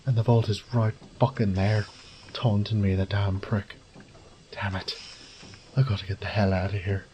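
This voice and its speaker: A man playing a wry, resourceful thief in an audio drama, muttering gruffly and cursing to himself. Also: lowish voice, little pitch movement, normal speed, mellow, a little hiss or hum, close to an everyday voice. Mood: frustrated, scheming. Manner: gruff, sardonic, swearing under his breath. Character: wry, foul-mouthed adult male thief, resourceful under pressure.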